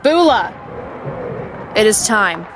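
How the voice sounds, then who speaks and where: A woman playing a cold, disdainful dark sorceress in a fantasy audio drama, speaking in a formal, condescending, measured way. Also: mid-range voice, sing-song. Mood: impatient, condescending. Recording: clearly noisy background.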